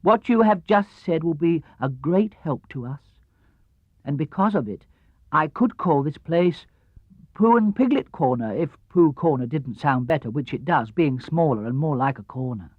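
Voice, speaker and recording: A man voicing an amiable, slow-witted toy bear from a children's storybook, simple, deliberate and earnest. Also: a little high, animated, no room sound, phone-quality sound. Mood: decided.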